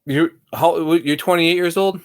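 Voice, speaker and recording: A man's voice: on the high side, steady tone, unhurried. A man in his forties hosting a podcast, asking questions in a casual, chatty interview style. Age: 40s. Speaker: podcast host.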